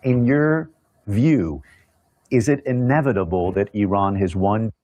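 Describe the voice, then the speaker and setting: A low-pitched American man works as a news correspondent. He asks interview questions in a measured, formal way, and his voice sounds dry and close. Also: darkish tone, no room sound.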